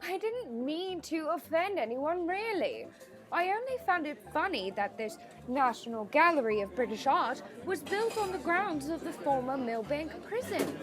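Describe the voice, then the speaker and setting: High, teasing society belle acted by a woman. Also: big pitch swings, normal speed, some chatter behind. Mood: amused. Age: young adult. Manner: theatrical, teasing. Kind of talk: scripted radio drama acting. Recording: loud music behind the voice.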